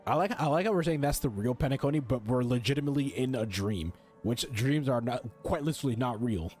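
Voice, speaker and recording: A man's voice: mid-range voice, animated, fast, a little mellow. A man giving casual, slangy, joking gaming commentary as he streams a story quest. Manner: slangy, joking, reads on-screen text aloud. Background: game music.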